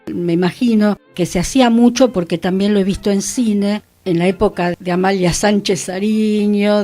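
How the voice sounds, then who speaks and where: A woman's voice: a little high, darkish tone. A woman with a Buenos Aires Argentine accent, hosting a radio show in a conversational way. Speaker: radio host; actress. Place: radio studio.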